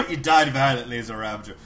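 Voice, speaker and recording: A man's voice: medium pitch, wildly swooping pitch, fairly quick, sharp tone, slight background noise. A man co-hosting a casual podcast, slightly sick and congested, rambling and joking about himself. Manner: rambling, jokey, self-deprecating. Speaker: podcast co-host.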